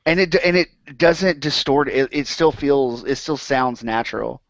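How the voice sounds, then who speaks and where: A man's voice: mid-range voice, a little slow, dry recording. Laid-back podcast host guy, lots of ums, mid voice, dry muffled audio. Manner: easygoing, hesitant with fillers. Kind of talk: casual chat. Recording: dry, dull lo-fi recording.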